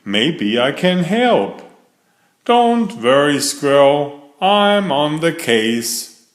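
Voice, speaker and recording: Man voicing an eager, high-pitched cat detective, echoey. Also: big pitch swings, very slow, full character voice. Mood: reassuring. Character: eager helpful male cat detective, confident problem-solver. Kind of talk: children's storybook character reading. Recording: echoey room.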